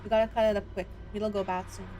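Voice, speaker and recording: A woman's voice: medium pitch, flat delivery, quick pace, mellow, soft background music, close to an everyday voice. Woman voicing a menacing rural hunter, stern and commanding. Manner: commanding, threatening. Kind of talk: visual novel character read-aloud.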